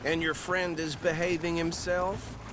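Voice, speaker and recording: An actor playing an earnest, chatty small-town deputy in a western game, self-important and folksy, with a Southern US accent. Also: male voice, a little high, little pitch movement, measured pace, background noise, natural voice. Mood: officious, proud. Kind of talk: western video game acting.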